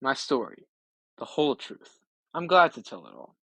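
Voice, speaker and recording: A man's voice: medium pitch, wildly swooping pitch, normal speed, creaky, pushed and bright, breathy edge, no room sound, full character voice. Man reading as a proud, wily old wanderer. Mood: proud, reflective. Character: wily old male wanderer, proud, oath-swearing storyteller.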